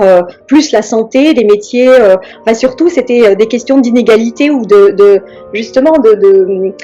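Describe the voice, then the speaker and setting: Hesitant woman with a mellow voice. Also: medium pitch, muffled recording. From France. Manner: hesitant, many pauses and restarts. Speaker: guest. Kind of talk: reflective interview talk.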